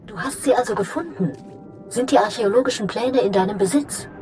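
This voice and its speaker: A woman playing a scheming, imperious female Sith lord in a German game dub, commanding and deliberate. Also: a little high, music in the background, close to an everyday voice. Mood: pleased, gloating.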